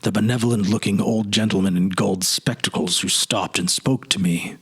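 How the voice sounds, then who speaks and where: A man playing a haunted, wistful man recalling his lost childhood, halting and earnest in a dramatic literary reading. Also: on the low side, fairly even intonation, a bit bright, breathy edge, heavily acted. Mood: wretched, grieving.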